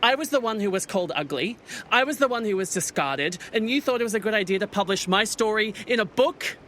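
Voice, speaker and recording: A man's voice: sits high, animated, brisk, fairly bright tone, a little hiss or hum. A young man playing an anxious, hurt misfit swan in a modern audio drama, speaking in a hesitant, conversational way. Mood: hurt, defiant. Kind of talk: audio drama acting, naturalistic modern dialogue.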